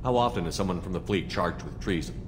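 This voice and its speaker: A no-nonsense starship commander talks in a low, quick voice, direct and clipped, in video game drama. There is noise, echo and music around him. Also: male voice, lively intonation. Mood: businesslike concern. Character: direct, businesslike male human starship commander.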